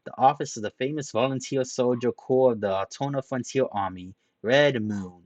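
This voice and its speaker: A man's voice: mid-range voice, lively intonation, normal speed, no room sound, lo-fi sound, voice pushed up, close to an everyday voice. A man reading a story aloud, voicing a cheerful young woman who talks in a chirpy, sing-song way.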